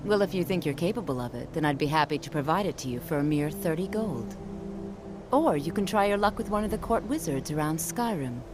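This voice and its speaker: Haughty low-voiced female mage gatekeeper. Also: animated, noisy background. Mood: approving, instructive. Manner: measured, formal, probing. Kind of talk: fantasy video game dialogue.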